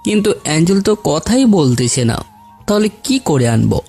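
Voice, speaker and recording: A man's voice: on the high side, sing-song, moderate pace, warm tone, breathy edge, full character voice. A man playing a friendly, doting big-brother figure in an audio story, talking in a casual, affectionate way. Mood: coaxing. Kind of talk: audio story drama acting. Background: background music.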